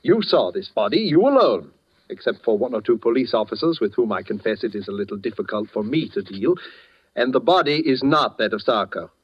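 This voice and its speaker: A man's voice: medium pitch, big pitch swings, fairly quick, husky. An actor playing a suave, scheming middleman in a 1940s radio thriller, elaborately polite and wordy with purring questions. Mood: smug, insistent. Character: suave, scheming middleman with courtly, wheedling manner. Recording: dull vintage 1940s radio recording.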